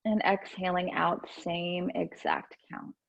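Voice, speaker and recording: A woman guides a gentle yoga and breathing practice in a fairly low voice with a lot of creak. Her delivery is soothing and unhurried, leaving space between instructions. The recording is a little dull and lo-fi. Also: fairly even intonation. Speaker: yoga and meditation teacher.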